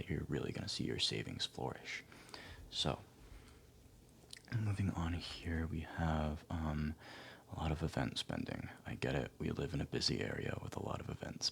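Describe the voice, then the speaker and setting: A man speaking softly, often near a whisper, in a gentle, hesitant, encouraging ASMR roleplay as an advisor talking to a client. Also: rumbling low, vocal fry, a little hiss or hum. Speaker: ASMR performer playing a financial advisor. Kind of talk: ASMR roleplay, soft-spoken one-sided client consultation.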